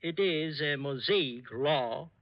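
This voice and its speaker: A man playing a solemn, courteous doctor in a 1940s radio drama, speaking in formal, deliberate phrases. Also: on the high side. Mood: solemn, patient. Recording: dull, lo-fi 1940s radio recording.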